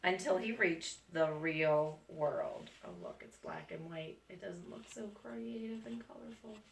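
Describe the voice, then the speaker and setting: A woman's voice: on the low side, measured pace, gravelly. A woman reading a picture book aloud to young students, stopping often for friendly remarks. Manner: gentle, adds friendly remarks to young listeners. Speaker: school staff reading to students. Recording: echoey room, far from mic, faint noise.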